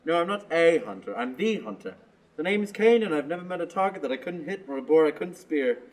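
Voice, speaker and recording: A swaggering male hunter in a student radio play, boastful and smooth with the ladies, as a teenage boy acts him out. His talk is charming and self-assured. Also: fairly high pitch, animated, a little fast, darkish tone, lightly acted.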